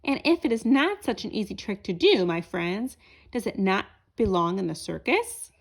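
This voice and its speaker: A woman's voice: medium pitch, lively intonation, normal speed, gravelly, voice pushed down, rough recording. A woman voicing a jovial, commanding circus boss in a storybook read-aloud. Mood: pleased, musing. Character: jovial circus boss, a grown man in charge.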